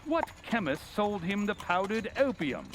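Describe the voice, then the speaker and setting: A man playing a sharp, confident, analytical gentleman detective in old-time radio drama style, with formal, precise questioning. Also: sits high, big pitch swings, a little slow. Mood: probing. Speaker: community theatre actor. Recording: faint background noise.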